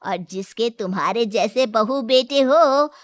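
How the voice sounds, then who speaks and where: Lady doing firm older saas with a shop, shrewd, high voice, takes her words slowly, story cartoon style. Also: dry recording, highs cut off, lightly acted. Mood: smug. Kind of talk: Hindi moral story character acting.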